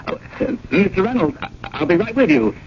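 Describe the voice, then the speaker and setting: A man's voice: high voice, sing-song, a little fast. A man playing a harried, wry, fretful museum curator in a radio drama, politely exasperated. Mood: flustered, panicky. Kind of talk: 1980 radio drama acting with first-person narration. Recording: dull, noisy old radio broadcast.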